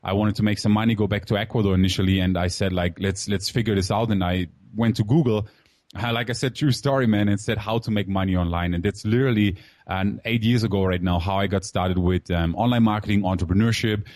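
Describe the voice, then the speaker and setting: A man in his thirties who grew up in Germany, talking through his life story, candid and loose, full of "you know" and "man." His voice sits low, and the sound is close and dry with no room around it. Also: fairly even intonation, fast, darkish tone, no echo. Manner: candid, filler-heavy, calls host "man". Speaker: guest entrepreneur. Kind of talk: casual interview storytelling.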